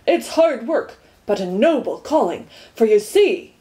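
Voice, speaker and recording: A woman's voice: a little high, very animated, unhurried, warm tone. A woman doing a proud, grandiose watchdog. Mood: declaiming. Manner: grand, declaiming, carried away. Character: earnest male watchdog, proud and sentimental. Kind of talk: audiobook character voice.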